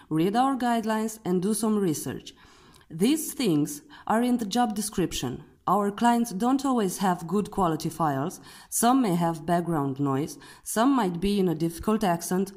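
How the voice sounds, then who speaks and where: A woman's voice: medium pitch, mellow. A woman with a foreign accent, a company co-founder speaking bluntly and with exasperation to her workers, swearing now and then. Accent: non-native English. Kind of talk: frustrated monologue to workers.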